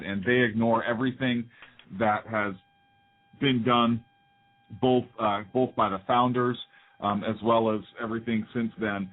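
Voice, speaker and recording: A man with a low voice co-hosts a talk radio show, speaking earnestly and circling back with frequent restarts. The sound is thin and phone-like. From United States. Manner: earnest, rambling with many restarts. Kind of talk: casual talk radio banter.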